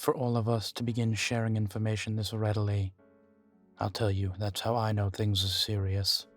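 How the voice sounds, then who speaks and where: A man playing an ancient vampire in an audio roleplay, guarded, weary and grave, speaking in a measured, deliberate way with dramatic pauses. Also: lowish voice, flat delivery, soft background music, natural voice. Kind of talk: scripted audio roleplay addressed to the listener.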